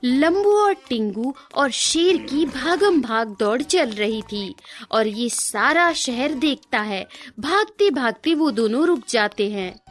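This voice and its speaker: A woman's voice: high voice, lively intonation, takes it slowly, few pauses, soft background music. A woman narrating a scripted children's cartoon story.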